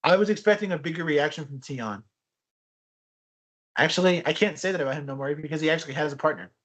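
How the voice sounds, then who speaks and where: Young male podcast co-host, slightly high voice, chatty and rambling. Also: fast talker. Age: young adult. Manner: rambling, restarts often, animated storytelling. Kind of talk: casual chat.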